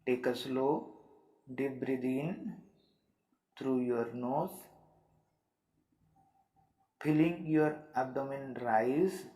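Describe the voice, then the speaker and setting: A man leading a guided meditation with soothing, unhurried instructions and long pauses. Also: mid-range voice, mellow. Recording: roomy, echoing sound.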